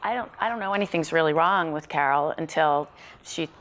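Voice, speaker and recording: A woman talking casually as an actor in a rehearsal discussion, animated and slipping into bits of dialogue. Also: sits low, brisk. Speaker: actor at a table read.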